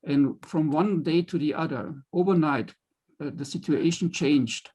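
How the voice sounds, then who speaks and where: A film director speaks in a panel conversation, measured and earnest, pausing on fillers as he builds his point. His voice is husky and sits somewhat high for a man. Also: darkish tone, rough recording.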